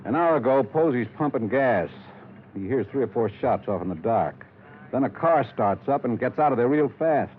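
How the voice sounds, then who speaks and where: An actor playing a tough, weary middle-aged small-town police lieutenant in a 1950s radio detective drama, gruff and commanding with clipped questions. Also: male voice, medium pitch, animated, normal speed, a little hiss or hum, close to an everyday voice. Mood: grim. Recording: 1950s radio broadcast, thin phone-like band.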